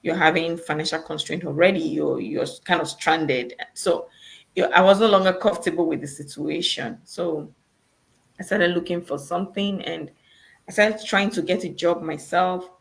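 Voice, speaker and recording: A woman with a Nigerian accent speaks over a video call, her voice low and slightly gravelly. She tells her story conversationally, pausing on fillers and restarting sentences as she goes. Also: low-quality recording. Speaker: guest entrepreneur. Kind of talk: casual video-call interview.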